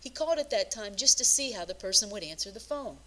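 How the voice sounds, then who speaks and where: A woman's voice: mid-range voice, lively intonation, quick pace, bright, forceful tone. Woman presenting a scripted career lesson. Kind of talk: scripted instructional narration.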